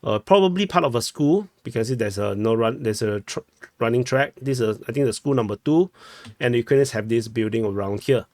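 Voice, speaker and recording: A young Singaporean man with a Singaporean English accent, talking through war maps in a loose, chatty way. He corrects himself mid-sentence and keeps asking "no?". His voice sits at a middling pitch and sounds close and dry, recorded on a desk webcam in a small room. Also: animated, a little fast, a little mellow, no room sound. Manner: chatty, self-correcting, ends with "no?". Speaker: war map analyst. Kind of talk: casual map commentary.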